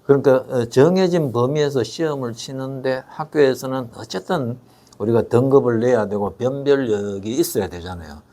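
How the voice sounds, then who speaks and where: Older man explaining steadily. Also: medium pitch. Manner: measured, steady, with frequent 'eo' fillers and rhetorical questions. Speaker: education expert guest. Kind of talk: explanatory interview talk.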